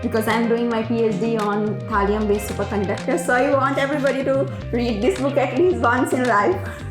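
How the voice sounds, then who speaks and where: A young woman from Pakistan whose first language is Urdu, a doctoral researcher speaking reflectively about her life, with pauses and fillers. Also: on the high side, steady tone. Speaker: PhD researcher. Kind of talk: personal documentary narration. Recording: roomy with music underneath.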